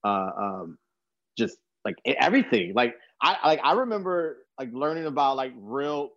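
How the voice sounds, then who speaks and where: A man's voice: mid-range voice, big pitch swings, moderate pace, fairly bright tone, dry recording. A young American man from Texas hosting a podcast, talking casually in a rambling, slangy way, with a slightly muffled sound. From Texas, USA. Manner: informal slangy speech, rambling. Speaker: podcast host. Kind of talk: casual conversational talk.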